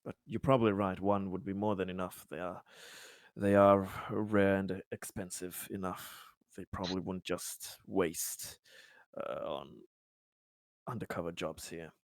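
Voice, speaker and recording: Male tabletop player, lowish register, improvising in character; halting, courteous, formal delivery on a dry recording. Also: fairly even intonation, dry recording. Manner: hesitant, halting, polite and formal. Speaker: tabletop roleplaying game player.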